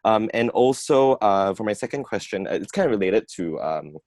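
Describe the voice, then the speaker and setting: A man's voice: fairly low pitch, lively intonation, moderate pace, a little mellow, no room sound, studio quality. A young man from Singapore, a student asking rambling questions over a video call, full of "like" and "you know". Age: young adult. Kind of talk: webinar Q&A question.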